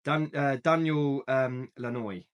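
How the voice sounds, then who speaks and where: A man's voice: mid-range voice, slow, smooth, highs cut off. A man co-hosting a casual, chatty discussion about films and games, often thinking aloud. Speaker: podcast co-host.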